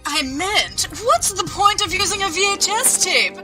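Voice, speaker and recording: Skeptical female assistant for a cartoon comic read, acted by a woman, sharp, high, drawn-out and unconvinced. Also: sing-song, faint music behind, heavily acted. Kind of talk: comic book table read.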